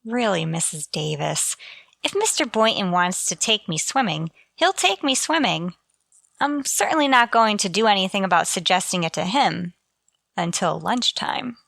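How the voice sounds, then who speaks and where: A woman playing a wry, quick-witted schoolteacher in a radio comedy, with deadpan wisecracks. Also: mid-range voice, gravelly, dry recording. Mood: sardonic. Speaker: actor. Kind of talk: old-time radio comedy acting.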